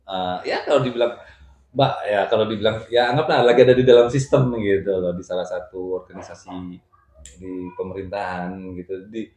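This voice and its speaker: A man's voice: fairly low pitch, fairly even intonation, brisk, a little mellow. A middle-aged man telling his life story in casual, colloquial chat, often repeating his phrases. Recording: echoey room.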